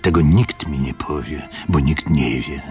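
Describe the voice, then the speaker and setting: Old pious innkeeper, radio play, rumbling low, unhurried, reflective and solemn, music behind. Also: male voice, a little mellow. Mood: questioning, solemn. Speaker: radio drama actor. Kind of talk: dramatic monologue in a radio play.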